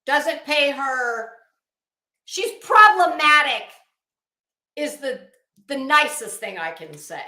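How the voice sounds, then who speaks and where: A woman's voice: high voice, lively intonation, slow pace, pauses often, bright, forceful tone. A woman livestream host on a casual rant to her chat, blunt and coarse, with an emphatic, sarcastic, challenging delivery. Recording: echoey room.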